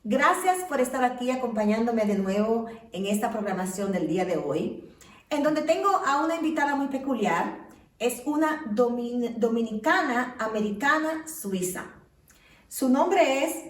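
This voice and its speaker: A woman hosting an interview, introducing her guest and asking lively questions. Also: on the high side, unhurried, echoey room, recorded from a distance. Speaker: interview host. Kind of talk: casual interview, warm introductions. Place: home of the guest.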